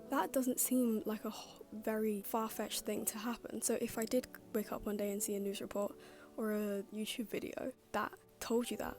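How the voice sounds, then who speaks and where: A young woman giving casual, rambling commentary in an informal, self-deprecating, loosely structured way. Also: fairly high pitch. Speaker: YouTube video essayist. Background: background music.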